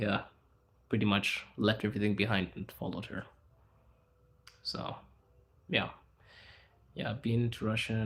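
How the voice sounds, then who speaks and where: A young man hosting a casual livestream, answering chat in a hushed inside voice because people are around him. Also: fairly low pitch. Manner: speaking quietly in an inside voice, laid back. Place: out in public in a city, people around.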